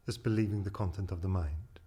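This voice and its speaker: A man with a deep, breathy and slightly husky voice guides a meditation. He delivers each instruction calmly and deliberately, leaving long pauses between phrases. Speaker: meditation teacher.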